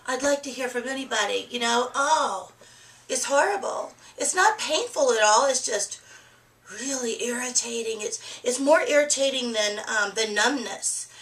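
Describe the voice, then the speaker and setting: A middle-aged woman chatting candidly to her webcam in a casual personal vlog, hoarse from a cold and laughing easily. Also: fairly high pitch, normal speed, fairly bright tone, echoey room, recorded from a distance, rough recording. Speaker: vlogger. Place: small room with a plain green wall.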